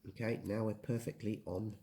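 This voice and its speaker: A man's voice: lowish voice, steady tone, normal speed, warm tone. A man from England, patiently explaining map and compass basics step by step as a hiking guide. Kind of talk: casual instructional explanation.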